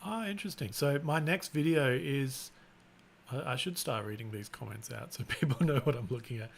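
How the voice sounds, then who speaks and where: Gravelly man chatting on a live stream. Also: mid-range voice, moderate pace. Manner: self-described monotone, rambling with restarts. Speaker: live-stream host and content creator. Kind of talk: casual live-stream chat.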